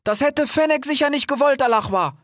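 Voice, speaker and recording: Squeaky, stammering little animal orator. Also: male voice, brisk, a bit bright, no echo, clean studio sound. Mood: pleading, earnest. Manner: stammering, tags sentences with "ja?".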